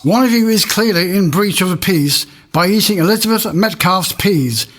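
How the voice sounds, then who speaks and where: A man's voice: high-pitched, expressive, moderate pace, a bit bright, slight background noise. A man playing a pompous, exasperated old-time manor court judge, declaiming puns in a comic audio drama. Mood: stern, exasperated.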